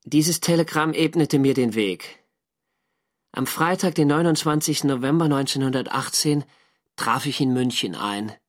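A mid-pitched man an actor as a reflective naval lieutenant. He narrates a diary for a literary radio drama, measured and sober. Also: lightly acted. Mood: melancholy, sober. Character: reflective German naval lieutenant recalling the war's end.

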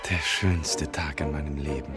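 A man's voice: rumbling low, fairly even intonation, moderate pace, music in the background. A man playing a meek, lovestruck, henpecked little man in a radio drama, with exaggerated acting. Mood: dreamy, happy.

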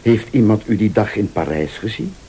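An actor playing a vengeful rival in a radio thriller, a calm, sardonic accuser who speaks Flemish with deliberate, probing politeness. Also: male voice, lowish voice, a little hiss or hum. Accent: Flemish Belgian. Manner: deliberate, insinuating, formally polite.

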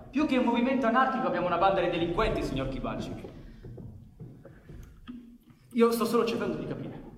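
A man playing an earnest, courteous police commissioner in radio theatre, speaking formally, articulately and persuasively. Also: high voice. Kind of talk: Italian radio theatre acting. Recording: echoey hall with long reverb.

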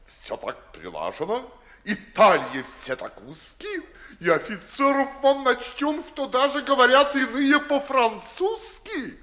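Pompous colonel with wildly swooping pitch. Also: male voice, sing-song, slow pace, pushed and bright, full character voice. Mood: smug, amused. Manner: pompous, chortling, swooping delivery. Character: Skalozub, blustering, dim, self-satisfied army colonel. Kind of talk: 1950s radio theatre comedy acting.

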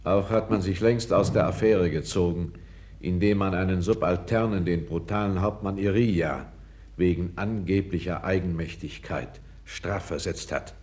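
Actor as deep, grave royal councillor. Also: male voice, a little mellow, natural voice. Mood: grave, frank. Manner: measured, grave, deferential yet frank. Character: calm, candid foreign court councillor to a king. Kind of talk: 1960s German radio play acting.

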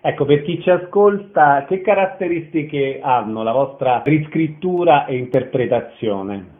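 Man, radio host, short polite questions, fairly high voice, tinny band. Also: expressive, measured pace. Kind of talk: radio interview.

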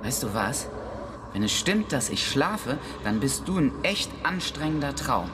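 Radio drama lead: a sceptical, irritable adult, very low-pitched but lively, often confused. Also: female voice, background noise, exaggerated voice. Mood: exasperated. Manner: sceptical, sweary, halting when confused. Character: irritable, baffled grown-up driver nicknamed Ken. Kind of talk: German radio drama acting.

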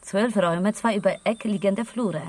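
A woman's voice: mid-range voice, normal speed, darkish tone, lightly acted. A woman playing a matter-of-fact investigator on an expedition team in radio drama dialogue. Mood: focused.

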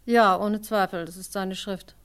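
An actress playing a proper, guarded former secretary under questioning in a German radio crime drama, formal and defensive. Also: female voice, mid-range voice, fairly even intonation, measured pace, fairly bright tone, clear, resonant tone. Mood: reserved.

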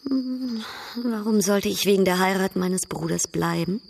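An actress playing a worldly, proud baroness in a German radio drama, speaking with polished irony. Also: female voice, medium pitch, a little slow, vocal fry, a little mellow, a little hiss or hum. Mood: ironic.

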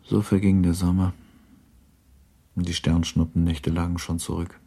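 A man reading scripted literary narration for a radio play, measured and composed. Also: deep voice, level tone, mellow, slightly rough.

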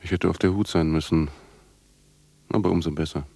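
An actor playing a haunted, defiant outcast with a brooding, poetic delivery in a literary radio drama. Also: male voice, sits low, steady tone, brisk, creaky, dark tone, no echo. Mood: bitter, resigned.

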